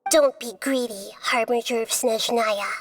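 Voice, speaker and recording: A woman voicing a small, wise young goddess in a video game, speaking in a measured, earnest and formal way. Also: on the high side, steady tone, a little hiss or hum, close to an everyday voice. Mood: wary, dignified.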